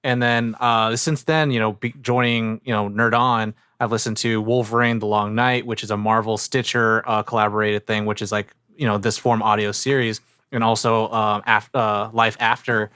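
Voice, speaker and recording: A man's voice: lowish voice, level tone, moderate pace, hardly any pauses, sharp tone, clean tone, no room sound, clean studio sound. A man chatting casually as a podcast host. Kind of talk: casual chat.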